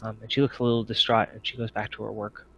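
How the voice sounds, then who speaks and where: A man narrating a tabletop game as game master, halting as he thinks aloud. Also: lowish voice, flat delivery, pushed and bright, dull audio, slight background noise.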